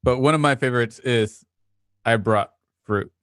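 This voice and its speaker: Halting man in dry, casual chat. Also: mid-range voice, a bit bright, dry recording. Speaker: actor as panel guest.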